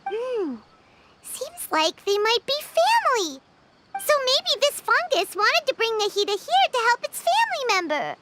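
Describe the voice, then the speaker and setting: A woman voicing a tiny, excitable, childlike fairy companion in an anime game, with a squeaky, perky delivery. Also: unhurried, heavy background noise, full character voice. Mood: musing. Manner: perky, animated, refers to self by name. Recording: music behind the voice.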